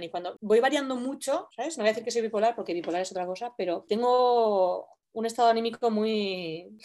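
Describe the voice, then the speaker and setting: A woman from Spain with a Spanish accent, chatting casually as an interviewed writer, telling things in an animated, colloquial way. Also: mid-range voice. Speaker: interviewed novelist.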